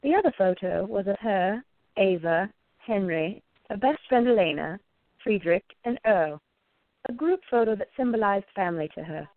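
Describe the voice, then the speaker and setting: A woman's voice: medium pitch, lively intonation, moderate pace, no room sound. A woman reading scripted narration for a radio story. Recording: tinny, phone-like narrow sound.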